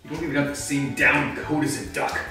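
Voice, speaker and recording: A man's voice: fairly high pitch, fairly even intonation, a little fast, close to an everyday voice. A man playing Batman as a flustered host of a comedic cooking show, rambling through the steps and snapping into angry outbursts, in a home kitchen. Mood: irate. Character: Batman, gruff brooding male superhero cooking. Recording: very echoey room, mic far off, faint music.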